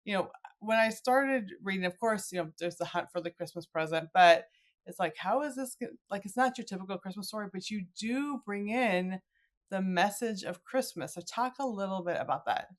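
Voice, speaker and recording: A middle-aged woman hosting a podcast interview in a casual, chatty and expressive way. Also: mid-range voice, normal speed. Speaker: podcast host.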